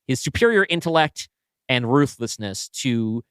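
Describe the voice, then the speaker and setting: A man co-hosting a podcast, brainstorming casually and thinking aloud in halting, self-correcting bursts. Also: medium pitch, animated, fairly bright tone, smooth, no echo, clean studio sound. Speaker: podcast co-host and writer.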